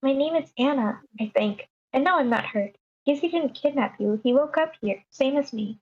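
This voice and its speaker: A woman acts out a frightened young woman who has lost her memory. Her voice is high and confused, as in a script read over an online meeting, and the sound is dull and lo-fi. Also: moderate pace, a little mellow, natural voice. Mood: anxious explaining. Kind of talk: live screenplay table read. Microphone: remote online meeting.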